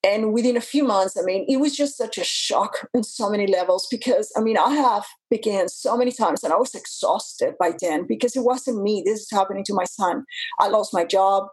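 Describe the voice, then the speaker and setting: Husky earnest woman in her fifties. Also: medium pitch, a little fast, rough recording. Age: 50s. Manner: earnest, many restarts and fillers. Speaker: guest. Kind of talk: interview talk.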